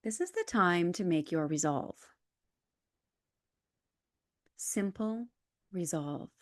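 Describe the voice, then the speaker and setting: A woman leading a guided relaxation as a yoga nidra instructor, calm and measured, with soft, breathy phrase endings. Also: lowish voice, dry recording, studio quality. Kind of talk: guided meditation, scripted instructions.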